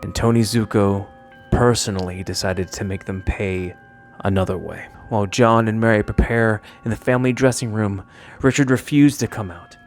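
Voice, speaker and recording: A man's voice: on the low side, steady tone, normal speed, faint music behind. A man delivering scripted narration as the presenter of a video essay.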